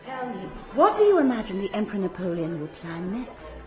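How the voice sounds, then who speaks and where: Actress as a coquettish, lilting aristocratic countess. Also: female voice, medium pitch, sing-song, brisk, crowd noise, close to an everyday voice. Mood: flirtatious. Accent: British. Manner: coquettish, poised. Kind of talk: radio drama acting.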